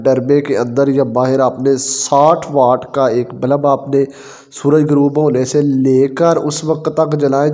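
A man with a Pakistani Urdu accent talks through poultry care in an instructional voice-over. His voice sits a little high but sounds mellow and dark. He explains step by step, often pausing on fillers. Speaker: poultry advice presenter.

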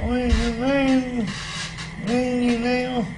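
An older man, speaking in halting, fragmented bits in a small library room. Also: very high voice, level tone. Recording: noisy room recording.

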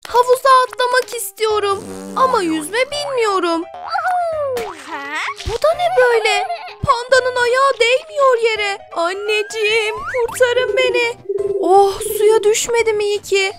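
An actor playing an excitable, playful little lion cub in a children's cartoon dub, exclamatory and childlike. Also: extremely high pitch, measured pace. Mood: frightened. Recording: music under the voice.

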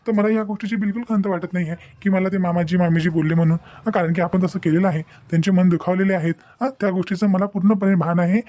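A protective young husband, acted by a man, talking quickly in a high but mellow voice. He reasons and gently scolds like a lecturer in a cartoon melodrama, checking often with short questioning hums. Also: dry recording, lightly acted. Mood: calm reasoning. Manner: insistent, lecturing, peppered with questioning "hm". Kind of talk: amateur Marathi cartoon melodrama dialogue.

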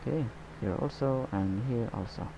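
A man giving a painting tutorial as he works, talking in a relaxed, unhurried way and often saying "okay" and "guys". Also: mid-range voice, vocal fry, music in the background. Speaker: painting instructor. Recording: slightly dull, lo-fi recording.

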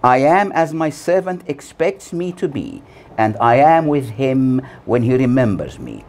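An older man in his sixties preaches from a prepared text in a solemn manner. His pitch moves widely as he sets out each short phrase deliberately, leaving pauses between them. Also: very animated, darkish tone. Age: 60s. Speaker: preacher delivering a Friday sermon. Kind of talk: scripted sermon read from notes. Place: small plain room indoors. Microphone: lapel mic.

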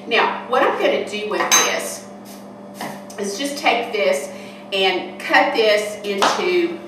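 An older woman in her sixties talks through a recipe in a homespun, chatty way as she cooks. Her voice comes from some way off the microphone and rings around a big, hard kitchen hall. A steady hiss sits underneath. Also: mid-range voice, slow. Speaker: cooking show host. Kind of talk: casual cooking demonstration. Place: large kitchen hall indoors. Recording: echoey room from far off, noisy.

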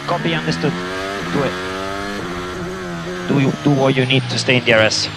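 A man's voice: medium pitch, normal speed, vocal fry. A man speaking as a race engineer over team radio, giving terse, clipped, businesslike instructions to a driver. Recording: very noisy motor racing radio. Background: car engine noise.

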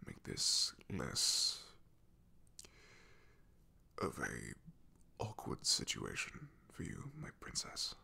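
Breathy, gravelly, awkward knight acted by a man. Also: rumbling low, huge pitch swings, bright, forceful tone, full character voice. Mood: nervous, eager-to-please. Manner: hesitant, apologetic, halting with throat clearing. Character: awkward, loyal, deferential male knight guarding a princess. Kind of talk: ASMR roleplay, soft half-whispered acting.

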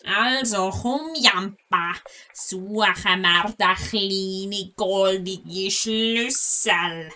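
A bossy, greedy troll acted by a woman in a children's audio play. The voice is piercingly sharp and forceful, slowish, with blunt grumbling. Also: mid-range voice. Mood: greedy, dismissive.

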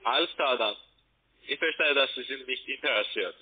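A man speaking as a non-native, making a sales pitch over a phone line. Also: normal speed. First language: not German. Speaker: telephone salesman. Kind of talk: sales call. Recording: tinny narrowband phone line.

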